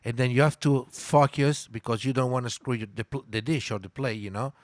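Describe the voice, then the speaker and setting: A man with an Italian accent, a native Italian speaker, talking in a casual interview. He tells lively stories, repeats words and stops to search for English words. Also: medium pitch, a little fast, dry recording. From Italy. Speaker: guest.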